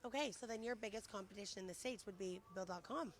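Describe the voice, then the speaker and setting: A woman's voice: mid-range voice, a little hiss or hum. A woman hosting a casual live-streamed chat at a conference, upbeat and enthusiastic, and she is losing her voice. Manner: upbeat, enthusiastic, quick to say "awesome". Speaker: host. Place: conference venue, indoors.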